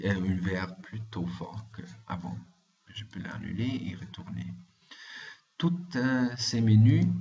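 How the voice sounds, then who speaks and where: A man with a German accent speaking French, walking through a software tutorial with frequent hesitant fillers. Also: lowish voice. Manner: hesitant, frequent "euh" fillers, careful explaining. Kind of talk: screen-recorded software walkthrough. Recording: muffled lo-fi voice-over with faint hiss.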